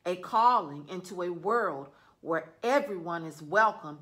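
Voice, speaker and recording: A woman's voice: lowish voice, expressive, unhurried, fairly bright tone. A woman voicing a stern, judgmental sixth-grade teacher who lectures her class earnestly. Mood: earnest lecturing.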